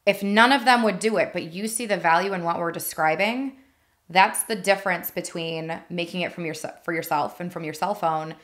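A woman's voice: fairly low pitch, moderate pace, a bit bright. A woman, around her thirties, coaching conversationally and emphatically as a podcast host, speaking into a studio microphone. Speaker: podcast host, marketing advisor. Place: small indoor podcast studio. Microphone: studio mic on boom arm.

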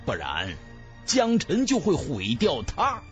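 A man's voice: a little high, huge pitch swings, takes it slowly, faint music behind. A man narrating a fantasy novel in a theatrical, emphatic style, as a scripted audiobook.

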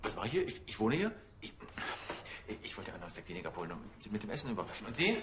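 A flustered boyfriend in a TV drama,, with a low voice. He stammers apologetically and hesitates. There is clear noise in the background. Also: sing-song, very fast. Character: flustered, apologetic male lover.

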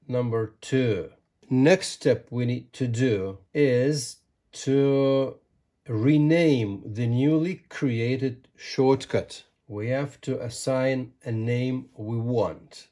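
A man, explaining steps deliberately and emphatically in a tutorial style. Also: medium pitch, warm tone. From Lithuania. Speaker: ham radio tutorial presenter. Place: small home radio room, indoors.

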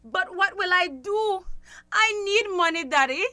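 A spoiled young daughter in a radio drama, extremely high and piercingly bright. She whines slowly and tearfully, milking every demand. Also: expressive, no echo, heavily acted. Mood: shocked, tearful pleading. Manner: whining, melodramatic.

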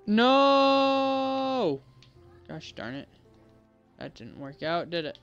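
Male gameplay creator, fairly high voice, casual muttered commentary over soft game music. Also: big pitch swings, extremely slow, clear, resonant tone, dull audio. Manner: mutters to himself, self-correcting and unsure. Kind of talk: casual commentary while playing.

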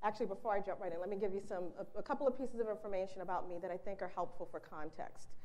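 A middle-aged woman from Boston, with no Boston accent, giving a conference keynote in a direct, conversational way in a large bright room. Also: medium pitch, racing. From Boston, USA. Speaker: keynote speaker. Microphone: handheld mic, then lapel mic.

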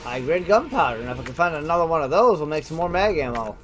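A man's voice: fairly high pitch, sing-song, fast. A man doing casual gameplay commentary, thinking aloud and chatting informally to his viewers. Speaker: gamer, host of a let's play. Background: game music.

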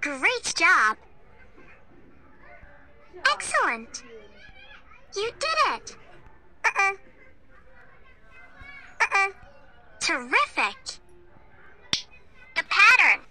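A very high, childlike voice delivers scripted prompts for a kids' learning game. It is piercingly bright and extremely slow, each short word clearly separated. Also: huge pitch swings. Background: music.

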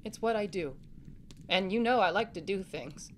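A woman's voice: mid-range voice, animated, normal speed, a little hiss or hum, close to an everyday voice. A woman playing a protective, caring young boy, a capable big brother, in a family audio drama, speaking in an earnest, reassuring way. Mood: reflective, earnest.

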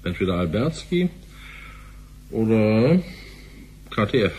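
An actor playing an insistent, suspicious former bank partner in a sci-fi radio play. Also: male voice, on the low side, big pitch swings, slow, mellow, a little hiss or hum, poor audio. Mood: agitated, urgent.

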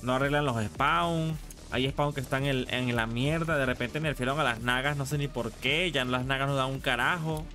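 A man's voice: medium pitch, moderate pace, hardly any pauses, bright, forceful tone. A man chatting casually on a live game stream, slangy and sweary as he gripes like a regular player. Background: music.

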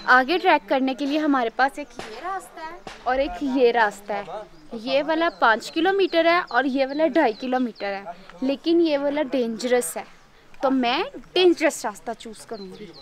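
A woman's voice: sits high, lively intonation, normal speed. A young woman, chatting upbeat to a handheld camera while hiking outdoors on a forest trail, sometimes out of breath. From Pakistan. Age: young adult. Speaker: travel vlogger. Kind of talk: casual travel vlog narration to camera. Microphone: handheld camera held close.

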